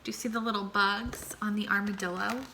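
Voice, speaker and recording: A woman teacher reading a picture book aloud to children, slow and expressive, then posing questions to them. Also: medium pitch, slight background noise, poor audio. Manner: slow, expressive and warmly animated.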